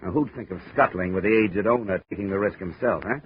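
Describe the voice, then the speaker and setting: An American actor playing a tough, wisecracking insurance investigator in a 1950s radio drama, clipped and world-weary. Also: male voice, sits low, fast, close to an everyday voice. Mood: pressing, confrontational. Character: hard-boiled, wisecracking male insurance investigator. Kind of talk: 1950s radio detective drama acting and narration. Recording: noisy, muffled 1950s radio recording.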